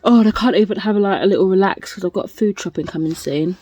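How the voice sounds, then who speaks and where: A woman's voice: mid-range voice, fast, mellow. A woman talking casually to her viewers as a book vlogger, in a playful, rambling, self-deprecating way. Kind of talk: casual chatty vlog to viewers.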